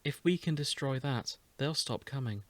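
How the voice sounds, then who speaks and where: A man's voice: mid-range voice, animated, moderate pace, dry recording, close to an everyday voice. A man voicing an earnest young princess who takes charge, in a fantasy story reading. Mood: earnest, purposeful. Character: young princess, earnest, brisk, capable leader.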